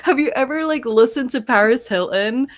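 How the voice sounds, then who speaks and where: A woman's voice: fairly high pitch, moderate pace. A woman from Scotland whose Edinburgh accent is mixed with American tones, co-hosting a casual live stream. She laughs often and fills her talk with "like". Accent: Edinburgh Scottish mixed with American. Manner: chatty, laughs often, frequent "like". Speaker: podcast co-host.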